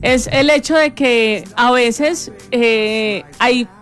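A woman's voice: fairly high pitch, drawn-out words, fairly bright tone. A woman with a Colombian accent hosting a talk show, giving opinions in an emphatic, colloquial way. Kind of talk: opinion commentary and panel chat. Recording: music playing under the voice.

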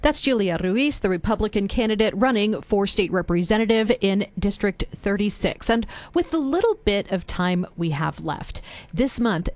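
Female news host, brisk scripted read, dry close sound. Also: medium pitch, no room sound.

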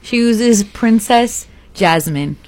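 A woman's voice: fairly high pitch, expressive, takes it slowly, background noise. A woman hosting a morning radio show, chatting and teasingly reading out celebrity gossip.

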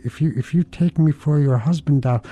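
A man putting on a high, stammering trickster raven. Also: moderate pace, dark tone, lightly acted. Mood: coaxing. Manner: eager, stammering and wheedling. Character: lazy, tricky male raven, a smooth-talking husband.

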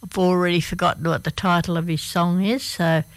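A woman with an Australian accent and a croaky voice, presenting the weather on community radio in a hesitant, unscripted way with many fillers. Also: on the low side, steady tone, fairly quick, no echo. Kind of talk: reading the local weather on air.

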